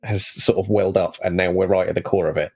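A man's voice: low voice, fairly even intonation, fast, creaky, a little mellow, breathy edge. A man chatting casually as a player on a podcast panel. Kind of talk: casual chat.

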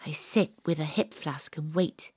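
A woman playing a gruff, dogged private detective who tells her own case in a dramatic, atmospheric audio drama delivery. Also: low voice, a little slow, no room sound, exaggerated voice. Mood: tense, hushed. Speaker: lead actress and narrator. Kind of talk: first-person audio drama narration.

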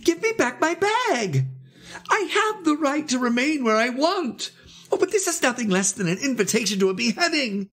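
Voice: high-pitched, big pitch swings, normal speed, fairly bright tone, pitched above the performer's own voice, heavily acted. A narrator playing a meek, dreamy male refugee in a literary story, exclaiming and pleading in a plaintive way. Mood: wailing protest. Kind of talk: dramatised literary reading.

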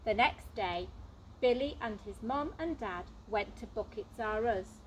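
Slow-paced woman reading a storybook. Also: fairly high pitch, expressive, slight background noise, poor audio. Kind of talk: expressive children's storybook reading.

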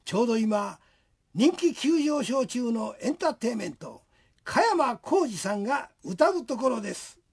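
Very husky narrator, lively swooping delivery, scripted radio drama, showman storytelling, faint music behind. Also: medium pitch, moderate pace. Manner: storytelling showman, addressing listeners.

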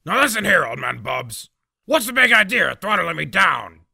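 A cocky cartoon wrestler with a short temper. The voice is very gravelly and hard-edged, blustering and sarcastic, with pitch that swings widely. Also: male voice, very animated, moderate pace, sharp tone, dry recording, studio quality, heavily acted. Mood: furious. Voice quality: gravelly, raspy. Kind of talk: cartoon fandub acting.

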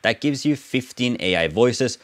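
A man's voice: medium pitch, lively intonation, measured pace, dry recording. A man in his thirties narrating a casual tech tutorial as its host.

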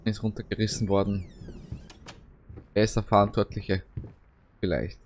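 A man's voice: on the low side, steady tone, normal speed. A man giving casual let's play commentary in short, fragmentary remarks. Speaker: let's player. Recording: noisy game ambience behind. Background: horror game ambience.

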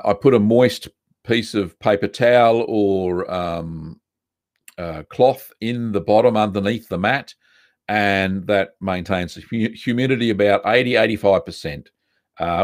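Chatty Australian man hosting a live stream. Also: on the low side. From South Australia. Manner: relaxed, chatty, many ums and uhs, reads chat aloud. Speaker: livestream host answering viewer questions. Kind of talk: casual live Q&A chat. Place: home studio room with bookshelves, indoors.

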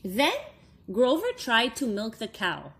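High, lively woman reading a children's story. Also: slightly rough. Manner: expressive, deliberate phrasing for young listeners. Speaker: story time reader for children. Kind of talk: read-aloud children's storybook narration.

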